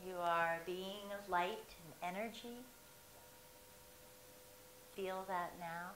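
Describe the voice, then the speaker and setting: A woman guiding a relaxation meditation, speaking in slow, soothing, drawn-out phrases with long pauses. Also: on the low side, fairly bright tone. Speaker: meditation guide. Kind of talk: guided meditation. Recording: echoey room with faint hiss.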